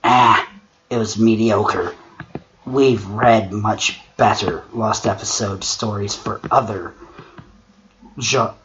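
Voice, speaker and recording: Man putting on a low, solemn manservant storyteller. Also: a bit bright. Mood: measured, deferential. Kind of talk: dramatic horror reading and measured critique. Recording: muffled lo-fi mic with clear noise.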